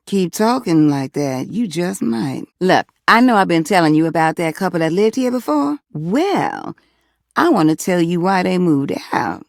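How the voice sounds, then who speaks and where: A sassy, hot-blooded talking household object from a dating game, flirting and confiding in an American accent. Her mid-pitched voice has plenty of crackly fry and swings up and down freely. The delivery is folksy and teasing, recorded very dry. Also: no room sound. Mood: coy, flirty. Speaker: voice actor in a dating simulator game. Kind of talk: flirty character dialogue, game acting.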